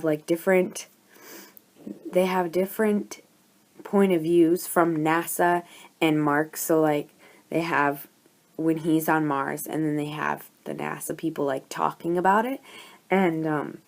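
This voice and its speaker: Hoarse, gushing low-voiced woman. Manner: gushing, chatty, lots of "like" fillers. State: hoarse from a cold. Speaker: book reviewer. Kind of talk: casual book review vlog. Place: at home.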